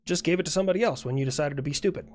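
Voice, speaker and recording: Wry, mid-pitched casual skit explainer. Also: racing, darkish tone.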